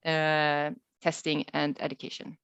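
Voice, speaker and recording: Low-pitched woman answering questions, dry sound. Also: completely flat delivery, a little slow, fairly bright tone, smooth, no room sound. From Sweden. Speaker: guest researcher and speaker answering questions. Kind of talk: webinar Q&A, unscripted explanation. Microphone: video call. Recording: dull, lo-fi video call audio.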